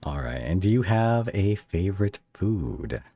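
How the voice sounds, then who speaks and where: Casting: male podcast host, very low husky voice, casual and conversational, pausing to think aloud. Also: sing-song, dark tone, no echo, clean studio sound. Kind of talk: casual interview chat.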